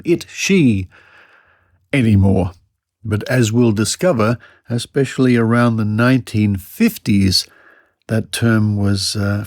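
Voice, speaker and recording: A man's voice: fairly low pitch, darkish tone, no echo, studio quality. A man hosting a podcast, introducing the episode in a chatty, wry way. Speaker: podcast host.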